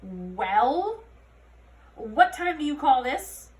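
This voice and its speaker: A woman's voice: high voice, sing-song, drawn-out words, pitched above the performer's own voice, full character voice. Woman putting on a barking, enraged fairy police commander. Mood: angry. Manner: barking, scolding. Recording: roomy, distant mic, faint noise.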